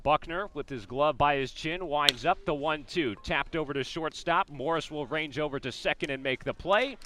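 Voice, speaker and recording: A man with an American accent calling a baseball game as a radio play-by-play announcer, describing each pitch and the setting. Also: moderate pace. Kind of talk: live sports commentary, descriptive radio play-by-play.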